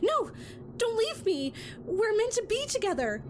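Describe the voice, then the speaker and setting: A woman's voice: very high-pitched, very animated, measured pace, background noise, heavily acted. A woman playing a sweet, needy, clinging emotion spirit in an audio drama, theatrical and pleading. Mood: desperate, clingy.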